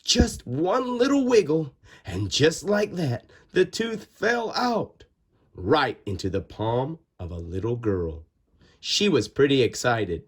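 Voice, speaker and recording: A man reading a children's storybook aloud in an expressive, dramatic way with drawn-out words and pauses. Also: medium pitch, breathy edge. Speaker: storybook reader. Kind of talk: animated children's read-aloud narration.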